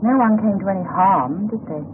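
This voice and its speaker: A woman's voice: mid-range voice, fairly quick, a little hiss or hum, natural voice. An actress playing a composed, probing wife and mother in a radio drama, poised and direct. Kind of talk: 1980s radio drama acting. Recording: narrow-band old radio recording.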